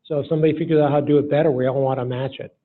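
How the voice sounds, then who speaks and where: A man's voice: medium pitch, steady tone, very fast. A man giving a keynote talk and taking audience questions in an informal, folksy way, in short phrases. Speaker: keynote speaker. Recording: muffled lo-fi hall recording.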